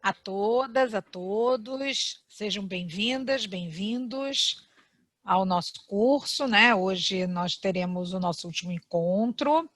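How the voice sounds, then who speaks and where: A woman's voice: mid-range voice, slow, fairly bright tone, no echo. A Brazilian woman with a Brazilian Portuguese accent, courteous and conversational as she hosts an online class and introduces a guest lecturer.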